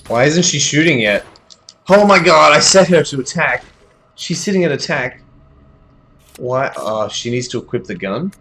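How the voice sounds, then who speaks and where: A man's voice: a little high, lively intonation, normal speed, bright, forceful tone, a little hiss or hum. A young Australian man with an Australian accent, thinking aloud and chatting with viewers while streaming a game. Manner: thinks aloud, chats with viewers, yelps when startled. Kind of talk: casual live gameplay commentary.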